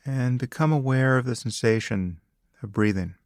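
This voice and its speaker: A man leading a guided meditation as a teacher, speaking calmly and deliberately in short phrases with long pauses. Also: mid-range voice, no echo, studio quality. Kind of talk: guided meditation, spoken instruction.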